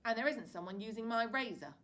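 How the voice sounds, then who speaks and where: A woman voicing a brash, upbeat father who turns gruff when pushed. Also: fairly high pitch, animated, fast, fairly bright tone, pitched above the performer's own voice. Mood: jolly.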